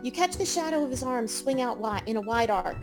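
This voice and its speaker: Woman narrating a visual novel, kinda high voice, reading to you as the player, music playing. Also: lively intonation, a little fast. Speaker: narrator and player character reader. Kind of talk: scripted second-person story narration.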